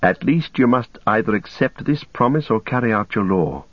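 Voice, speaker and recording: A man's voice: fairly low pitch, expressive, normal speed, no room sound, lo-fi sound. A man voicing an earnest young male hobbit who speaks courteously and formally. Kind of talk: audiobook character voice.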